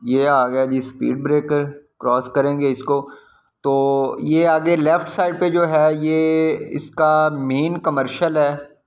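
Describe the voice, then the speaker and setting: Higher-pitched Pakistani man giving property commentary. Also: level tone, slow pace. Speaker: real estate agent, video host. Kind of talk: property site-visit commentary.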